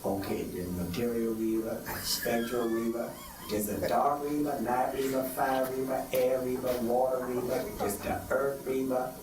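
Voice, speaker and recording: A man doing voice-over takes for a game, reading a list of items in a measured way. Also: medium pitch, flat delivery, music in the background. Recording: roomy, distant, noisy archive clip.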